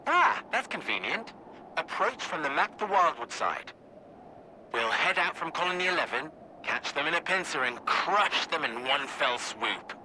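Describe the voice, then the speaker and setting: Sly military commander guy, super bright forceful voice, lively and wry, video game, bit of background noise. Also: fairly high pitch, moderate pace, heavily acted, rough recording. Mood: brisk, decisive. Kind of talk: video game cutscene acting.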